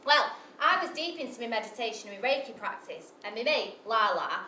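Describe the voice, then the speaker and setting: A woman's voice: a little high, lively intonation, brisk, bright, forceful tone, a little hiss or hum. A woman performing a live comic monologue, brash, sweary and self-mocking, full of asides to the audience. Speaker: storyteller. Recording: echoey live venue from far off.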